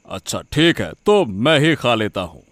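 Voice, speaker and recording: Man playing a slow, animated older village father. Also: medium pitch, no room sound. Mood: bossy, contented. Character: excitable, doting father-in-law, an older village husband. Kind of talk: Hindi animated moral story acting.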